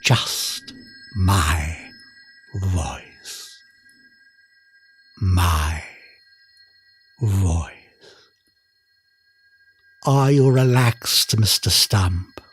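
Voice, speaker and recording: An actor playing a theatrical stage hypnotist in an audio drama, with a grandiose, commanding manner. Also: male voice, low voice, extremely slow, pauses often, slight background noise. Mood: hushed, hypnotic.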